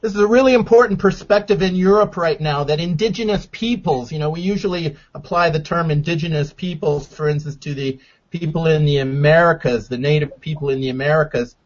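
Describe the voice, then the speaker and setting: A man hosting a radio interview, introducing his guest and topics in a measured, deliberate way. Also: on the high side. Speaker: radio show host. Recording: dull lo-fi sound.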